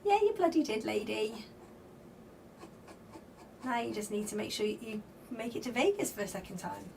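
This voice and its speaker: Lady doing a live card reading, bit croaky, higher voice, mic a little far off with faint hiss. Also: expressive, normal speed, darkish tone. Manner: reads comments aloud and greets viewers by name; flowing spiritual guidance with repeated phrases. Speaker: tarot card reader on a livestream. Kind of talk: live card-reading stream, chatting with viewers. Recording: slightly distant mic with faint background noise.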